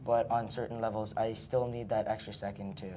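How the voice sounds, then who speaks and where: A man's voice: on the low side, flat delivery, a little fast, dark tone, slight background noise. An eighth-grade boy answers questions about a class lesson. He speaks earnestly and restarts his sentences as he searches for words. Faint room ambience hums behind him. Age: young teen. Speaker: student. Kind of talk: classroom interview.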